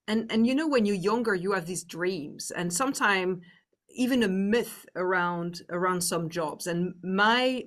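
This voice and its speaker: A woman in her fifties from France with a French accent, speaking as a guest in an interview, reflective with frequent pauses. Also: fairly low pitch. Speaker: guest executive. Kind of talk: interview conversation.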